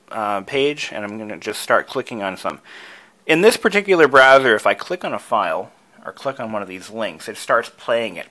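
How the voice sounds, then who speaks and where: A man's voice: on the low side, pushed and bright. A man presenting a hobby tutorial, casually talking through each step with enthusiasm.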